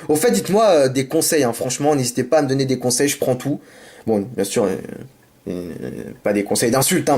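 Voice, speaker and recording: Chatty man opening card packs, a bit sick. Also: medium pitch. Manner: chatty, apologetic, excited over cards. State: sick with a sore throat. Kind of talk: casual unboxing commentary. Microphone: camera mic.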